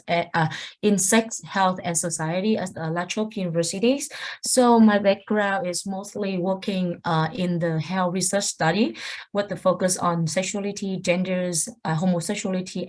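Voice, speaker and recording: A young woman introducing herself as a PhD student on an online panel, hesitant with many fillers. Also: lowish voice.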